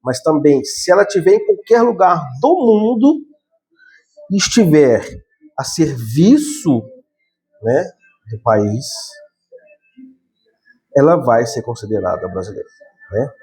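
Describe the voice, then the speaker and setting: Brazilian guy teaching law, warm mellow voice, keeps tossing in little tag questions, chatty lecture. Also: fairly high pitch, big pitch swings, slow, long pauses, poor audio. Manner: conversational, frequent tag questions, repeats and self-corrects. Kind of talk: explanatory lecture.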